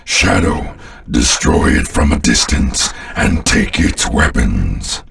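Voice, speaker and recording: A man voicing a sinister, contemptuous alien warlord in a video game, giving pompous, commanding battle orders in a gravelly voice. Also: wildly swooping pitch, fairly bright tone, far from the mic, slight background noise, full character voice. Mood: commanding, menacing. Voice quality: very deep, gravelly. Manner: slow, pompous, disdainful, commanding. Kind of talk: scripted video game character lines.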